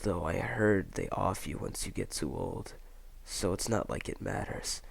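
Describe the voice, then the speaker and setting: A man voicing a laid-back, teasing teenage mutant friend in an amateur fandub, gravelly, husky and casually fatalistic. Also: sits low, unhurried, heavily acted.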